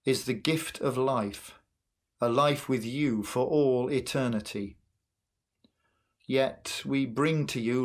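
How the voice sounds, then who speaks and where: Slow-spoken older man leading worship. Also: medium pitch. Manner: solemn, measured phrasing. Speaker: worship leader. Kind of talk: church worship address and prayer, read out. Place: indoor room at home, in front of curtains.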